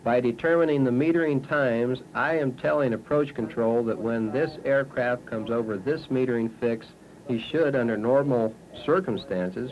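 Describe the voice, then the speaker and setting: American man, matter-of-fact air traffic controller explaining procedure, dull vintage film sound with faint noise. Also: mid-range voice. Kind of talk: explanation to camera.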